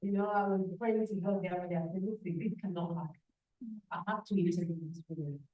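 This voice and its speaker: An effusive woman hosts an awards event with a fairly low voice that is going hoarse. She talks in an emphatic way. The call audio sounds dull and lo-fi. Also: fairly quick. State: losing her voice. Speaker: event host. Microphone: video call.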